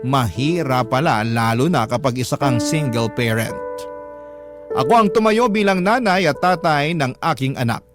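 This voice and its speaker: A man with a Filipino accent reading a first-person story as scripted radio drama narration. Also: mid-range voice. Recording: loud music bed under the narration.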